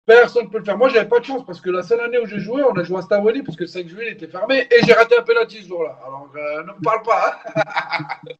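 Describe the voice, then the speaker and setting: Male ex-footballer guest, French-raised, frank and halting, muffled lo-fi audio. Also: high-pitched, fairly bright tone. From France. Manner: candid, repeats words while searching for them. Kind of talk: casual interview.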